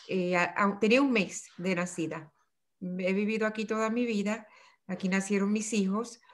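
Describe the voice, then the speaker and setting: Older Puerto Rican woman, interview guest, reflective, restarts her phrases. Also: mid-range voice. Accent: Puerto Rican Spanish. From Puerto Rico. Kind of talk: remote interview conversation.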